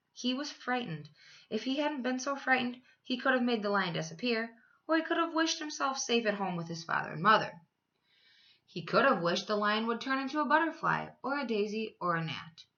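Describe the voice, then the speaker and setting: Female teacher for storybook read-aloud: bright, expressive phrasing, medium pitch, muffled home-recorded sound. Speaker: schoolteacher reading aloud.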